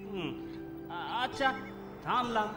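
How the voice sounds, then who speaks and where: A man's voice: sits high, lively intonation, slow pace, roomy sound, long reverb tail, music in the background. A man playing a naive, talkative, middle-aged village servant in a cartoon, with a rural Bengali accent and a humble, folksy manner. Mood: naive, eager. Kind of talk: cartoon dialogue acting.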